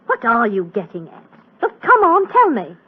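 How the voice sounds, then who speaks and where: An actress in a 1940s radio drama playing a frightened, defiant young magician's assistant, curt and emotional. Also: female voice, a little high, huge pitch swings, moderate pace, slightly rough, phone-quality sound, full character voice. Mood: defiant, wary. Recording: thin, band-limited old radio recording.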